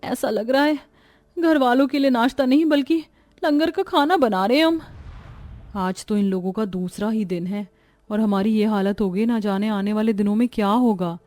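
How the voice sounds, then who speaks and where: A woman's voice: a little high, moderate pace, darkish tone, no echo, close to an everyday voice. A woman voicing a tired, overworked young housewife in a cartoon, lamenting wearily. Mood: weary worried. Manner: weary, lamenting.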